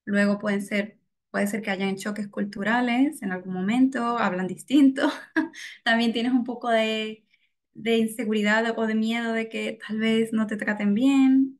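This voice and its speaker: A young woman with a Venezuelan accent, a guest on an interview, answering reflectively with small laughs and many "bueno"s. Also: fairly high pitch. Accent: Venezuelan Spanish. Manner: reflective, frequent soft laughs, fillers like "bueno". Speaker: guest, data scientist. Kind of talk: casual interview.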